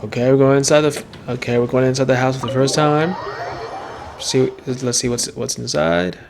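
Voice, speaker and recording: Casual slangy gamer, medium pitch. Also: steady tone, normal speed, gravelly, a crowd in the background. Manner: slangy, muttering, repeats phrases. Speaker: gaming streamer. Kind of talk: casual playthrough reaction.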